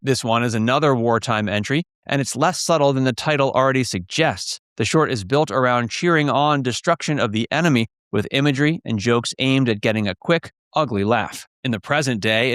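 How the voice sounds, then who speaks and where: A man's voice: medium pitch, fairly bright tone, clean tone, no room sound. Man narrating in dry studio sound. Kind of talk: scripted documentary-style narration.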